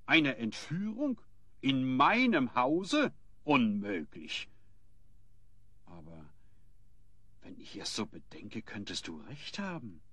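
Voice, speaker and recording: A man's voice: mid-range voice, very animated, takes it slowly, long pauses, a bit bright, breathy edge, dry recording, full character voice. A man playing a gossipy, easily indignant innkeeper in a German audio play, given to astonished exclamations. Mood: shocked, thoughtful. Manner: theatrical, prone to astonished exclamations. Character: indignant, gossipy male innkeeper of a town inn.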